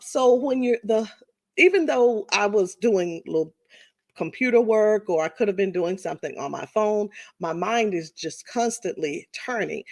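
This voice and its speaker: A woman in her fifties talking casually to her viewers, chatty and repetitive, often restarting her sentences. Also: lowish voice. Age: 50s. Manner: chatty, repeats herself, addresses viewers as y'all. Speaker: vlogger. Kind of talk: casual testimonial monologue.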